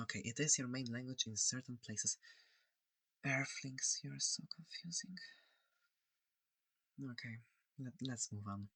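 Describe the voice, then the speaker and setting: A friendly alien examiner played by a performer in an ASMR roleplay, speaking slowly and softly in a close, dry sound. The voice is breathy with some gravelly creak, and the manner is curious, polite and gently reassuring. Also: mid-range voice, dry recording, full character voice. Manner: soft-spoken, hesitant, gently inquisitive.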